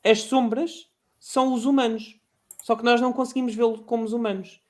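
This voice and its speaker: A man with a European Portuguese accent, chatting as a podcast guest, passionate and halting with many restarts. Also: extremely high pitch, flat delivery. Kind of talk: casual chat.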